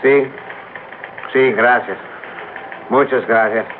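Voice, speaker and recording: An actor playing a polite, cautious young Mexican-American police sergeant in an old radio drama. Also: male voice, medium pitch, fairly even intonation, takes it slowly, natural voice. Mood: polite, discouraged. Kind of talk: 1950s radio drama acting. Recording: tinny old radio recording, faint noise.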